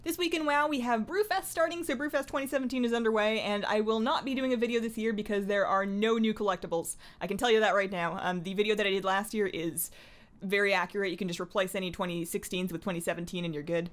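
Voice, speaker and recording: A woman's voice: mid-range voice, steady tone, fast talker. A woman chatting casually to camera as a gaming vlogger at her desk, with playful asides and self-interruptions. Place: indoors at a home desk.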